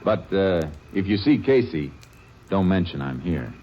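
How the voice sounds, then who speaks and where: A terse, deep-voiced lawman. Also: big pitch swings, measured pace, highs cut off, loud music behind the voice. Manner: clipped, matter-of-fact delivery. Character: steady, terse lawman narrating his case. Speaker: narrator and lead. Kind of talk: 1950s radio drama acting.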